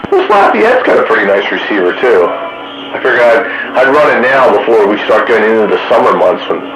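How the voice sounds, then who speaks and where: A man's voice: on the low side, wildly swooping pitch, a little fast, music in the background. A man chatting casually and plainly over CB radio, with some dry banter. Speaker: CB radio roundup regular. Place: recorded from a CB radio stream. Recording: roomy, noisy CB radio recording.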